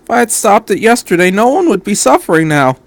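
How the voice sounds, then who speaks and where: A man's voice: high voice, big pitch swings, fast talker, dry recording, voice pushed up. A man voicing a distraught, guilt-ridden teenage boy in a fan story, pleading and stammering shakily. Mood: guilty, panicked.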